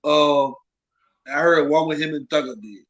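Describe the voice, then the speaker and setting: A man's voice: fairly high pitch, measured pace, fairly bright tone, lo-fi sound. A man co-hosting a podcast with a stuffed-up nose from a cold, talking casually and animatedly, often repeating himself. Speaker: podcast co-host. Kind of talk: casual chat.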